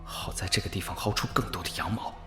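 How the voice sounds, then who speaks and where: A man's voice: sits low, steady tone, quick pace, pushed and bright. A man playing a calculating young male disciple in inner monologue for an audio drama, with an echoey effect. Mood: scheming, hushed. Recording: echoey effect, music behind.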